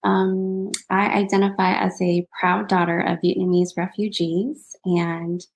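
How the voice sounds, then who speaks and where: Steady woman with a gravelly, even voice. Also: lowish voice, unhurried, faint music behind. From California Bay Area. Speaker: guest, assistant professor.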